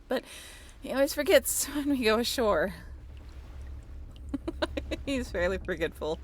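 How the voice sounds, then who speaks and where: A woman's voice: mid-range voice, slight background noise, lightly acted. A woman playing a friendly, handy young sailing ship crew member in an intimate audio roleplay, talking in a casual, chatty way.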